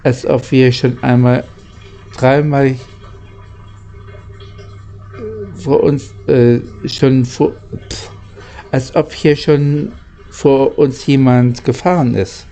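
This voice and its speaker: A man voicing an earnest, easily worried young boy traveller in a storybook, speaking haltingly. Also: medium pitch, fairly even intonation, dark tone, rough recording. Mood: thoughtful.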